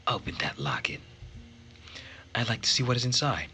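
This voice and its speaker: A man voicing an anxious, secretive young woman from a visual novel in a hushed, earnest, dramatic way. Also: mid-range voice, expressive, normal speed, slight background noise, pitched above the performer's own voice. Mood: curious, hushed.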